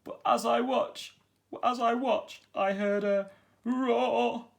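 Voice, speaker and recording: A man voicing a weepy, blustering old pirate captain in a children's storybook, with a high, sobbing, theatrical voice. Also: steady tone, slow, creaky, exaggerated voice. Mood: distraught.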